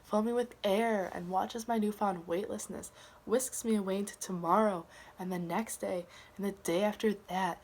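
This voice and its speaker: A woman performing a slam poem in a dramatic, earnest and deliberate delivery. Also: mid-range voice, steady tone, a little mellow, low-quality recording. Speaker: slam poet. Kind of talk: slam poetry recitation.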